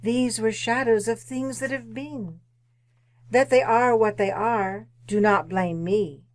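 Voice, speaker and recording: A woman playing a solemn, unyielding spirit of the past, speaking deliberately and commandingly in radio drama style. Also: on the high side, steady tone. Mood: solemn, insistent. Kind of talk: old-time radio drama acting.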